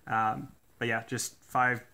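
Bright-voiced man explaining a teardown. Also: medium pitch, takes it slowly, creaky, clean tone. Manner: relaxed, many "uh" fillers, technical detail. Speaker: DIY tool reviewer. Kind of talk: casual hands-on teardown talk. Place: indoors at a workbench.

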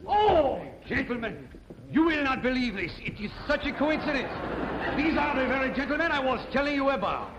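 A man's voice: extremely high pitch, sing-song, moderate pace. An actor playing a sly French con man with a French accent, in a 1950s sitcom. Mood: ingratiating. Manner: oily, exaggerated politeness. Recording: dull old TV soundtrack with hiss.

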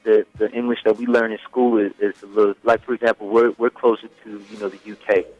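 A young man whose first language is Swedish, chatting easily on a radio show. His voice sits a little low and he keeps circling back with "you know" and "like". The studio sound is dry, with faint music playing underneath. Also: little pitch movement, quick pace, darkish tone, no echo, lo-fi sound. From Sweden. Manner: laid-back, many fillers like "you know". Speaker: singer guest. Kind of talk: casual interview chat.

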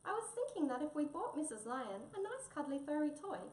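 A woman voicing a small, gentle, timid mouse in a children's storybook, with a sweet, quavering, soothing manner. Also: sits high, very animated, a little fast, recorded from a distance, voice pushed up. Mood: gently hopeful. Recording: roomy echo, faint background noise.

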